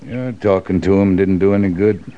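A man's voice: low voice, fairly even intonation, normal speed, dark tone, natural voice. A man playing a stern, weary, terse cavalry captain in a mid-century radio western. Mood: grim, impatient. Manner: terse, hard-bitten. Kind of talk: 1940s-50s radio western acting. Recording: dull, noisy old radio recording.

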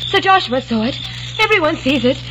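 A woman's voice: high voice, lively intonation, fairly quick. An actress playing a frightened young woman breaking her engagement in an old radio drama, speaking tense and defiant. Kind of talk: 1940s radio acting. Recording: noisy old radio recording.

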